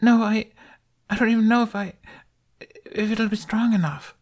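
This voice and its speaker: A man voicing a frightened young boy who pleads in a high, stammering voice in an audiobook fan reading. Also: fairly even intonation, moderate pace. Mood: pleading. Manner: high, urgent, stammering pleas.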